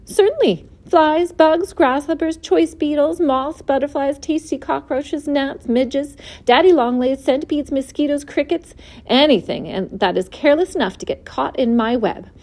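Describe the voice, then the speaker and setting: A woman reading aloud and voicing a clever, bold female spider with a thin, pleasant voice, brisk and self-assured. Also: sits high, a little mellow, voice pushed up. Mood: matter-of-fact. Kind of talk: storybook read-aloud.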